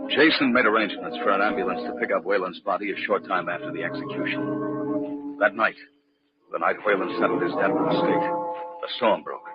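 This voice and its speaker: Man acting a low-voiced, uneasy radio-drama narrator. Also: expressive, brisk, natural voice. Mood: grave, suspenseful. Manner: grave, dramatic, hesitant. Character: uneasy, hesitant male assistant narrating a grim tale. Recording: muffled old radio recording, loud music.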